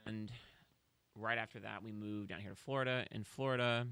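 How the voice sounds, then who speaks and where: A man's voice: fairly low pitch, fairly even intonation, a little fast, pushed and bright, smooth. A man from Kansas City, a podcast guest, telling his story in a chatty, wry way with self-deprecating jokes. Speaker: guest, real estate agent. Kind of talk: casual podcast chat.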